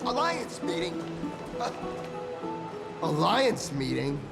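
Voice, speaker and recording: Shrill, creaky, bitter malcontent. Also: male voice, huge pitch swings, slow, loud music behind the voice, full character voice. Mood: scornful. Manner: scornful, agitated. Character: bitter, sneering, paranoid malcontent railing at a tyrant. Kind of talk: video game character acting.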